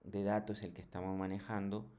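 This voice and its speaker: A man giving a programming tutorial in a halting, didactic way, often checking in with short questions. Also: lowish voice, flat delivery, warm tone. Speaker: programming instructor. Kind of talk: screen tutorial lesson.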